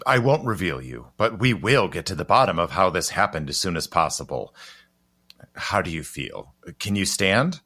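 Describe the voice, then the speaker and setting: A deep-voiced, guarded gentleman, acted by a man for an audio drama. He is stern and protective, swinging widely in pitch and pausing for dramatic weight. He sounds intense and urgent, like someone who keeps dangerous secrets. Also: animated, slightly rough, heavily acted. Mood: guarded, grave.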